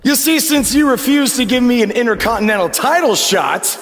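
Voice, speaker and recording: A man's voice: extremely high pitch, animated, moderate pace, a bit bright. A man playing a cocky, defiant young wrestler in a video game, delivering a brash, taunting promo. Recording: echoing arena, noisy ambience.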